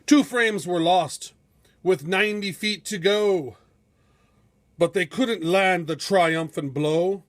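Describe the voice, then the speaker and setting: Male storybook narrator, high register, unhurried delivery, dramatic and measured, suited to heroic verse. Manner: theatrical, measured, epic storytelling. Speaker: storybook reader.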